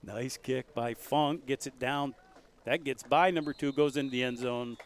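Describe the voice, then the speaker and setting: Folksy small-town football announcer. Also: male voice, medium pitch, normal speed. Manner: folksy, gets loud and excited on big plays. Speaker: play-by-play commentator. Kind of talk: local high school football commentary.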